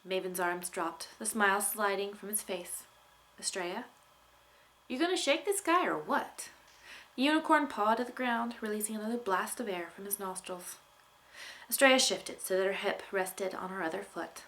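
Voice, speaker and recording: A woman narrating a young adult fantasy story as an author reading aloud, with expressive delivery. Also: medium pitch, moderate pace. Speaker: author reading from own novel.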